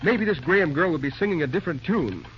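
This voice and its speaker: An actor playing a brisk, self-assured young railroad assistant in a 1930s radio drama. The recording is a dull old radio transcription with faint hiss. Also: male voice, on the high side, animated, lightly acted. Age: young adult. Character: ambitious young male railroad assistant, cocky.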